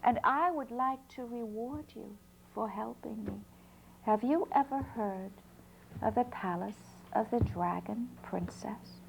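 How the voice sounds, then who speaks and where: A woman's voice: fairly high pitch, slow, vocal fry, slight background noise, rough recording. A woman voicing a grateful, courteous turtle servant in a folk tale. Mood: courteous, inviting.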